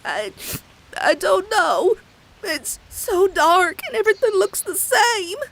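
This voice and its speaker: A woman's voice: very high voice, sing-song, takes it slowly, fairly bright tone, full character voice. A woman voicing a frightened, polite little lost filly pony in a comic dub. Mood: scared, hesitant. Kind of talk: animated comic dub acting.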